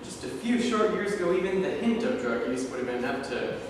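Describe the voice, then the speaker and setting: A man's voice: fairly high pitch, sing-song, brisk. A man playing a folksy, easily impressed TV sports co-host in a staged reading of a scripted broadcast. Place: live staged reading in a reverberant room. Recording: echoey hall, distant mic, clear background noise.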